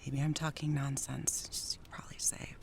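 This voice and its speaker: An actress playing Jerry, a troubled, wistful, newly sober wife in a 1930s radio drama. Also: female voice, very deep voice, flat delivery, moderate pace, creaky, whispered. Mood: wistful, pleading.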